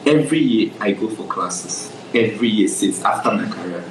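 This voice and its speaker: A man's voice: on the low side, expressive, moderate pace, reverberant, background noise. A young adult Nigerian man, a photographer guest, telling his story in a casual interview, often checking "do you understand?".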